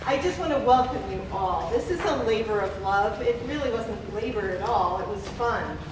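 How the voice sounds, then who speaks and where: A woman's voice: mid-range voice, expressive, normal speed. A woman hosting a live play reading, welcoming people and reading out stage directions. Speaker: director, event host and reader of stage directions. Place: temple hall, distant camera mic. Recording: echoey room, distant mic, clear background noise.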